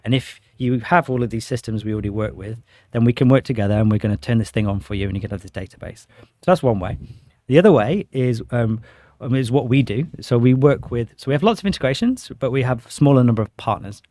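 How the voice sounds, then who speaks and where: A man's voice: mid-range voice, animated, fast, dark tone, no room sound. A man explaining a product conversationally as an interview guest, with enthusiasm and everyday examples. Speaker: interview guest, sales director.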